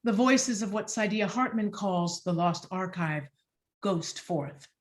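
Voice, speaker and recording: Slightly low-voiced woman reading stage directions. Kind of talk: scripted dramatic narration.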